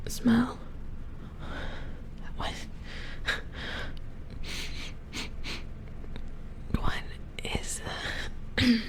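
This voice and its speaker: A woman playing a gentle, squeamish robot repair engineer in a hushed, hesitant ASMR roleplay, murmuring to herself. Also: sits high, rough recording. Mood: disgusted, uneasy. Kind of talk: soft-spoken whispered ASMR roleplay.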